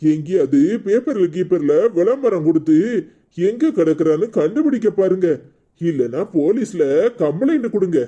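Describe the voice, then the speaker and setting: A man's voice: sits high, big pitch swings, moderate pace, mellow, highs cut off. A man voicing a blunt, indignant older village man, scolding and exclaiming in a cartoon drama. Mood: exasperated, advising.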